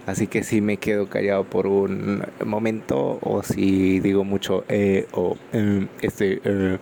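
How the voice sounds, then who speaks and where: A man's voice: fairly low pitch, completely flat delivery, slow, vocal fry, dark tone, a little hiss or hum. A Salvadoran man with a Salvadoran Spanish accent hosting an unscripted solo podcast, rambling with frequent fillers, recorded on a phone microphone. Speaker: podcast host.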